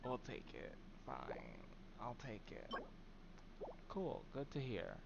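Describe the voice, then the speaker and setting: A man streaming a game live, chatting in a very soft, mild voice with plenty of ums and trailing words. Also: mid-range voice, wildly swooping pitch, slow pace, gravelly, highs cut off, heavy background noise. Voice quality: very soft voice that does not carry.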